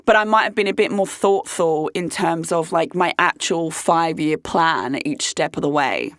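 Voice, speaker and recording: A woman in her late thirties hosting her own podcast, talking candidly and emphatically in a rambling way, her voice hoarse. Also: deep voice, normal speed, dry recording, studio quality. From United Kingdom. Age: late 30s. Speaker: podcast host. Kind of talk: casual monologue. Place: podcast studio.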